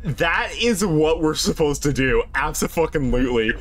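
A man's voice: on the high side, lively intonation, normal speed. A man giving casual, profane commentary while playing a game with friends. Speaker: game streamer.

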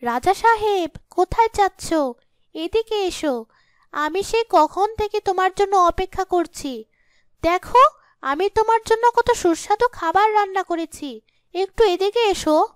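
A woman's voice: extremely high pitch, lively intonation, measured pace, no room sound, clean studio sound, voice pushed up. A woman voicing an alluring female ghost in a cartoon, calling out in a sweet, coaxing way.

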